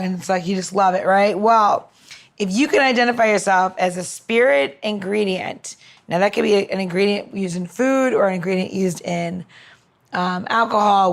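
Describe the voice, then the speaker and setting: A woman co-hosting a podcast in casual chat, with an informal, sweary way of talking. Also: mid-range voice. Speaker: podcast co-host and cocktail expert.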